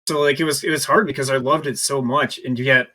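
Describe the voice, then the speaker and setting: A man's voice: mid-range voice, fairly even intonation, brisk, pushed and bright. A man in his thirties talking casually as a podcast co-host. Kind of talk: casual chat.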